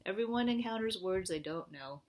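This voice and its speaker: A woman reading a picture book aloud to young children in an animated way, often asking them questions. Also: medium pitch, fairly quick.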